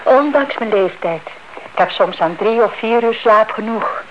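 An actress playing an inquisitive, stubborn elderly aunt in a Dutch radio play, politely pressing with questions. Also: female voice, a little high, animated, unhurried. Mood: prying, polite. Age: older. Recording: dull, noisy old radio recording.

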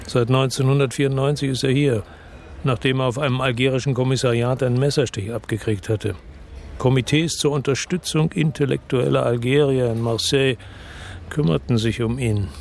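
A man's voice: medium pitch, darkish tone, a little hiss or hum, lightly acted. An actor playing a brooding investigator who narrates his story in a radio drama. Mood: earnest. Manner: slow, somber narration. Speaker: first-person narrator.

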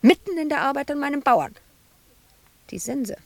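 A woman's voice: high voice, lively intonation, moderate pace, vocal fry, dry recording. An actress playing a bitter, wounded woman sculptor shut away in an asylum, in a reproachful, volatile radio drama monologue. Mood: indignant. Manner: reproachful, volatile, dropping at times to hushed murmurs.

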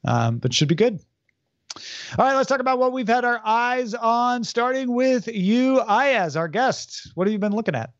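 A man hosting a podcast, reading out entertainment news in a brisk, self-deprecating way. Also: very high voice, very animated, no echo. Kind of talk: casual chat, reading news notes. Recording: dull lo-fi dry sound.

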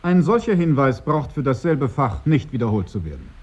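A man's voice: mid-range voice, big pitch swings, moderate pace, darkish tone, a little hiss or hum, lightly acted. A man playing an officious school head who chairs a meeting in stiff, pedantic officialese for a radio drama. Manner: bureaucratic, pedantic, stiffly formal. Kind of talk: radio drama acting, formal agenda speech.

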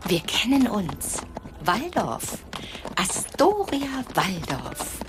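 A woman's voice: mid-range voice, wildly swooping pitch, extremely slow, noisy background, full character voice. An actress playing a teasing, haughty businesswoman in a German radio drama, drawling with theatrical amusement. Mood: amused, seductive. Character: teasing, haughty rich businesswoman pulling the strings.

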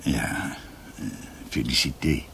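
A reflective, aloof family doctor in a radio play. His extremely deep, gravelly voice moves haltingly through memories. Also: pushed and bright, slight background noise, exaggerated voice. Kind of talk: radio drama acting.

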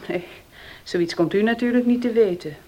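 A woman's voice: medium pitch, normal speed, mellow, natural voice. An actress playing a defiant young working woman in a radio play, speaking in a tense, evasive and curt way. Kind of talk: Dutch radio play acting.

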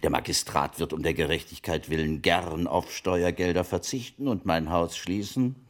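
An actor playing a wealthy, wily merchant of old Italy in a German radio play, speaking formally and deliberately in a gravelly, husky voice. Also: male voice, deep voice, lightly acted. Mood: wry, defensive. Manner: formal archaic phrasing, deliberate storytelling.

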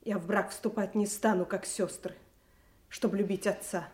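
A woman's voice: mid-range voice, fairly even intonation, normal speed, a little mellow, reverberant, lightly acted. An actress playing a king's honest, steadfast youngest daughter, earnest and restrained in a classical audio drama. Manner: earnest, plain-spoken, restrained.

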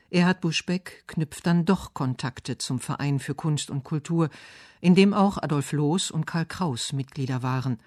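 A man reading scripted literary narration and verse for radio, measured and articulate. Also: a little high, darkish tone, dry recording, clean studio sound. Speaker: radio essay narrator and poetry reader.

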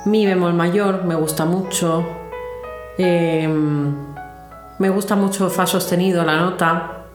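Low-pitched Spanish woman in a roomy space. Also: steady tone, slow pace. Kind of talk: casual reflective conversation. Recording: roomy echo with music underneath. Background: music.

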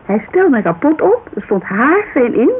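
A woman's voice: sits high, normal speed. A woman playing a chatty, loyal businesswoman giving a witness account in a naturalistic radio drama, telling it informally with digressions. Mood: chatty recounting. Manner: informal, digressive, animated storytelling. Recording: muffled, hissy old radio recording.

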